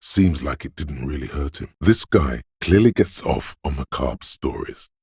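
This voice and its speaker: A man voicing a grim, goading inner voice of pain and endurance in a video game, narrating with slow, weighty, intense delivery and a husky, gravelly tone. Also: extremely low pitch, animated, a little mellow, no room sound, clean studio sound, exaggerated voice. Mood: grim, brooding.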